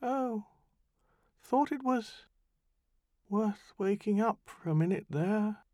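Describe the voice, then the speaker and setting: Tiny sardonic demon in frog form, put on by a man narrating, high and faint, slow mocking drawl, lilting pitch, dry close sound in an audiobook. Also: animated, a little mellow, no room sound. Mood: weary sarcastic.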